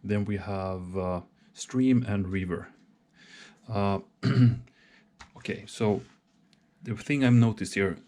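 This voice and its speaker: Low-voiced guy slowly talking himself through a solo wargame setup, lots of pauses and restarts. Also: dark tone. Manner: hesitant, many pauses and restarts. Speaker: solo board game player. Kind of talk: thinking aloud while setting up a wargame.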